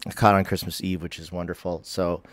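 A middle-aged man talks to his webcam from his living room, sounding stuffy and sniffly from a head cold. His voice sits very low, and he rambles along with frequent um's and self-corrections. The dry sound is close, with no room echo. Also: flat delivery, brisk. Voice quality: nasal, sniffly. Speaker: spiritual vlogger. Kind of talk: casual talking-to-camera vlog.

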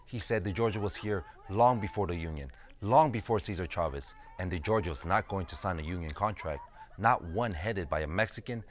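Casting: plain-spoken union organizer from the fields, low voice, conversational oral-history storytelling. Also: male voice. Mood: wry, recounting. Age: 20s. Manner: conversational storytelling with frequent "you know". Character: farmworker turned union organizer, streetwise and plain-spoken, recounting old struggles. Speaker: actor. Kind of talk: dramatized oral-history monologue.

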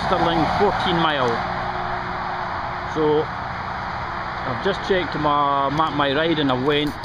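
Casual Scottish male cyclist, middle-aged, rambling outdoor commentary, camera audio with clear traffic noise behind. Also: fairly high pitch. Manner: chatty, rambling, pointing things out. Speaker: vlogger. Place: outdoors on canal paths and roadsides. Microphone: action camera held or mounted on the bike.

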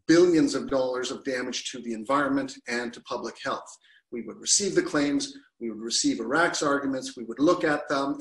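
A man's voice: mid-range voice, a bit bright, echoey room, low-quality recording. A middle-aged man from Canada, telling a personal story over a video call from his living room, gesturing with both hands. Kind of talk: personal storytelling to an online audience. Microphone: video call webcam.

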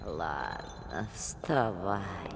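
A sinister old witch in a cartoon film, drawling with menacing courtesy. The delivery is extremely slow and swings widely in pitch. The voice rings through a long cavernous reverb with clear music behind it. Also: animated, noisy background, exaggerated voice. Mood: mocking. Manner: slow, insinuating, mock-polite drawl. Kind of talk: theatrical animated film acting.

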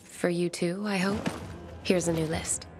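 A woman's voice: fairly low pitch, fairly even intonation, measured pace, music in the background, close to an everyday voice. An actress playing a bookish, romantic and courteous woman survivor in a video game, speaking formally and thoughtfully. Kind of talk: video game cutscene dialogue.

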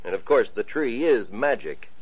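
A man's voice: medium pitch, lively intonation, moderate pace, husky. A man playing a gallant young prince, courtly and commanding, in a 1940s radio play. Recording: muffled old radio broadcast.

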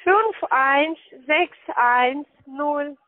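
Female phone agent, foreign accent, non-native speaker, high pitch, slow hesitant delivery, muffled call audio. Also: dry recording. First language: not German. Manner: hesitant, checking and repeating details. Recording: dull band-limited phone line.

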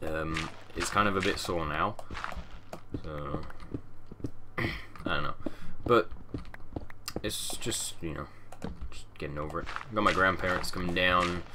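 A young man with a deep, low voice chats loosely while he plays, rambling from one thought to the next and mumbling little asides. He sounds a touch nasal and sniffly from a fading cold, talking into a headset mic in a small room. A steady hiss and keyboard clicks sit under his voice. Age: young adult. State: sniffly, getting over a cold. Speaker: gaming channel host. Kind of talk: casual let's-play commentary.

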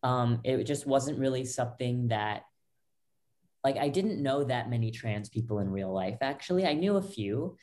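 A young woman with a low voice, a podcast guest telling her own story in loose talk full of fillers and restarts, over a video call from a small bedroom. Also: animated, moderate pace, a little mellow. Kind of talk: candid personal story. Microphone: laptop webcam, video call.

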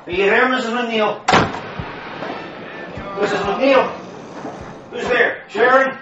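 An actor playing a troubled, earnest priest in a radio horror drama, uneasy and questioning. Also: male voice, very high voice, fairly even intonation, takes it slowly, reverberant, distant mic, lo-fi sound, noisy background. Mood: anxious calling. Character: troubled, anxious male priest, earnest and bewildered.

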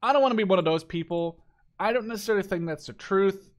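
A man's voice: high voice, fast. A man in his twenties giving casual, unscripted gameplay commentary, rambling and swearing freely as he shares his opinions. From Canada. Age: 20s. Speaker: gaming video creator.

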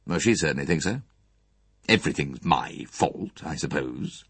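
Male reader as angry young man, sardonic, self-pitying, curt, low voice, animated intonation, storybook reading. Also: normal speed, breathy edge, no echo, exaggerated voice. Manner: curt, sardonic, casual phrasing.

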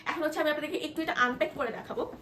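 A woman with a Bangladeshi accent presenting an informal product demonstration, explaining haltingly and mixing in English words. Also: sits high, bright, forceful tone. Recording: echoey room with background noise.

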